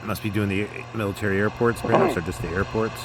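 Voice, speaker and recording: Loud hiss and static surround a man with a fairly deep voice. He talks informally, like a hobbyist hosting a casual demonstration video, taking his time. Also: steady tone, fast. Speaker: radio hobbyist video host. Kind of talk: casual hands-on demonstration vlog.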